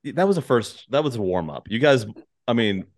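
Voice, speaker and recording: A man's voice: medium pitch, animated, moderate pace. A man hosting a comedy podcast, chatting and joking casually with his guests. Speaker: podcast host.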